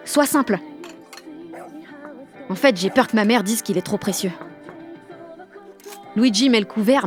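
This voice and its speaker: A woman's voice: medium pitch, natural voice. An actress playing a blunt, fiery sixteen-year-old French girl who narrates an audio drama in sardonic slang. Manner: colloquial, heavily slangy, sardonic.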